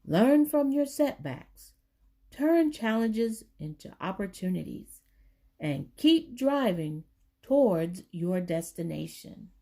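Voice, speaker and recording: A woman reading a children's book aloud, with deliberate, emphatic phrasing for young listeners. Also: mid-range voice, sing-song, gravelly, mellow. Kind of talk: storybook read-aloud for children.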